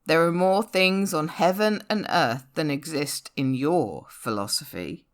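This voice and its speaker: A woman's voice: low-pitched, unhurried. A woman voicing an opinionated, insistent New Age young woman in a poem reading, declaiming preachily. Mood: pert, insistent.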